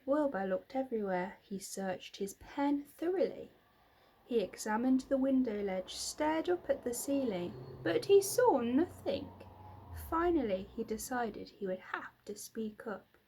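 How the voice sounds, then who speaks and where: A woman's voice: fairly high pitch, expressive, a little slow, mellow, a little hiss or hum, poor audio. A woman narrating a children's book expressively, like a teacher reading aloud. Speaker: reader, teacher.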